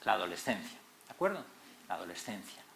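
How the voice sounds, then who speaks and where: A man's voice: sits low, fast, vocal fry, a little hiss or hum. Spanish man lecturing in an echoey hall. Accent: Madrid Spanish, not very Canarian. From Tenerife, Canary Islands. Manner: expressive, rising emphasis on key words. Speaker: lecturer and series moderator. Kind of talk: public lecture to an audience.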